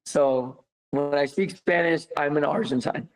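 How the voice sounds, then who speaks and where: A man's voice: on the high side, a little slow, rough recording. Chatty young American man telling stories. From United States. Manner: animated, many fillers, laughs easily. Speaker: guest, former footballer. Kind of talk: casual storytelling.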